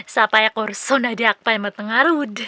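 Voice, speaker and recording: A woman acting high, bright, lovestruck Filipina. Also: moderate pace, no echo. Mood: coy. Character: lovestruck, persistent young unmarried village woman. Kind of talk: comedic radio drama acting.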